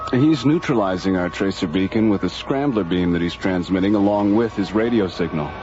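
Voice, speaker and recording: A man's voice: low-pitched, brisk, natural voice. Matter-of-fact space station operator , male actor, dull band-limited sound with music behind. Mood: businesslike. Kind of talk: radio drama acting. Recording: band-limited radio recording over music.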